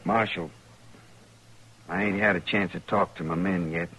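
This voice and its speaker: An actor playing an honorable, earnest cattle trail boss with a rural Western American accent in a radio western. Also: male voice, low voice, steady tone, normal speed, sharp tone, breathy edge, heavily acted. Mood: grave, resolute. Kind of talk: old-time radio western acting. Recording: faintly noisy old radio recording.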